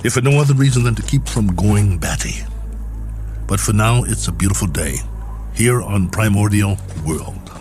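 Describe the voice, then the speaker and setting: Music plays clearly behind a low male voice, an actor as a stranded space colonel. He is lonely and reflective, musing with wry, world-weary resignation in the manner of a radio drama monologue. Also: moderate pace, darkish tone, natural voice.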